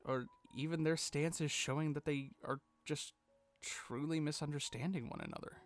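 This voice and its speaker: A man with a somewhat high voice delivers scripted video commentary. He keeps it casual and drops in sweary asides, while soft music plays behind him. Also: steady tone, moderate pace. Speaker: video co-host. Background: music bed.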